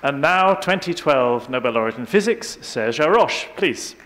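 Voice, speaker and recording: A man's voice: medium pitch, animated, moderate pace, fairly bright tone, a faint crowd. An older man moderating a live discussion on the stage of a large auditorium. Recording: live hall with audience noise. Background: audience murmur and noise.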